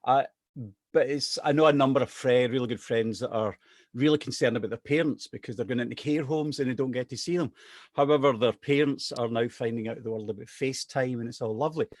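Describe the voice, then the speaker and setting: A middle-aged man from Scotland tells a story in a chatty, self-deprecating way. His voice sits at an ordinary pitch and sounds close and dry, with no room around it. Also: brisk, darkish tone, no echo. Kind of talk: casual anecdote telling.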